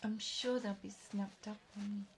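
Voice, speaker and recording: A woman's voice: mid-range voice, fairly even intonation, unhurried. A woman playing a friendly, chatty young guide from another world in an audio roleplay. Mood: friendly, welcoming. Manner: chatty, welcoming, giggly. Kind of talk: roleplay audio drama addressed to the listener. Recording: clear background noise.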